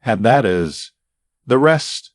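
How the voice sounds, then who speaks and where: A man narrating a scripted anecdote as a storyteller, with dramatic pauses and deliberate, emphatic phrasing. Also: medium pitch, lively intonation, no room sound, clean studio sound. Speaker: radio-style storyteller.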